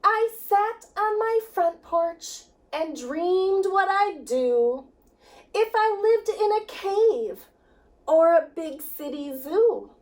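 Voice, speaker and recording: A woman reads a children's story in a high voice, taking her time over every phrase. Her delivery is expressive and swings widely, with dramatic pauses between lines. She sounds set back from the microphone. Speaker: children's director reading a picture book. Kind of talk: children's story read-aloud. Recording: distant microphone.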